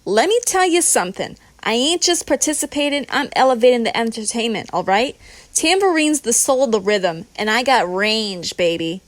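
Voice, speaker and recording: A woman's voice: high-pitched, animated, measured pace, no room sound, voice pushed up. A woman playing a brash, theatrical New show-off. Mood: boastful. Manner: loud, boastful, dramatic declaiming. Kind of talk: audiobook character voice.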